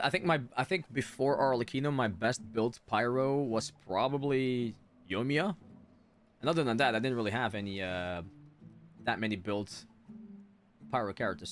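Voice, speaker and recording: A man chatting casually and a little haltingly on a gaming livestream. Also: fairly low pitch, faint music behind. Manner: informal, halting, chatting with viewers. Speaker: game streamer. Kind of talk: casual livestream chat over gameplay.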